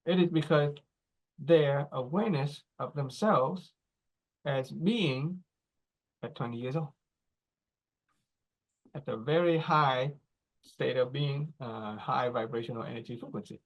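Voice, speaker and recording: A man in his forties giving a teaching talk, explaining slowly in short phrases and checking in with "right?" and "okay?". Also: medium pitch, poor audio. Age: 40s. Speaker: speaker.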